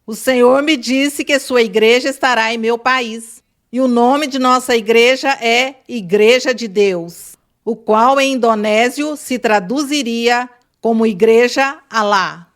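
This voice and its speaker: A woman interpreter reading a translated question aloud in a steady, measured way. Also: on the high side.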